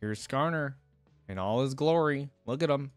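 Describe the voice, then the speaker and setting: A man's voice: medium pitch, normal speed, no echo, faint music behind. A young man giving casual, chatty game reaction commentary, musing aloud as he goes. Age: young adult. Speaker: reaction video host. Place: small indoor room at a desk. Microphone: close desk microphone, wearing headset.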